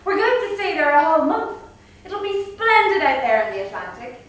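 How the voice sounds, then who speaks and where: An actress gives a bold young Irish nationalist, her voice high and swinging through wide leaps as she needles and insists. It rings through a big echoey hall, caught from far away. A stage play, all challenge and teasing. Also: sing-song, a little fast, fairly bright tone, full character voice. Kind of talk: stage acting. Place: large museum room.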